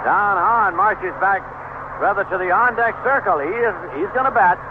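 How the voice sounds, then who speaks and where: A man does live baseball commentary for radio in a somewhat high-pitched voice. He describes the game in measured, clipped phrases. The sound is thin and band-limited, with clear noise over it, like an old AM broadcast from the seventies. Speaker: baseball play-by-play announcer. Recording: noisy, tinny vintage 1970s AM radio broadcast.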